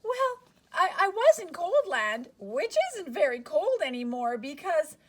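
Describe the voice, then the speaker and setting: A woman voicing a shivering, friendly little storybook character who talks haltingly through sneezy breaths. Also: very high voice, big pitch swings, full character voice. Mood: sneezy, chatty.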